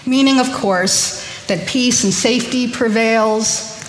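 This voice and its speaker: A woman's voice: a little high, takes it slowly. A woman born in Korea, speaking informally to a congregation, chatty and hesitant with many ums and restarts. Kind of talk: informal sermon talk to a congregation. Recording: echoey hall with faint noise.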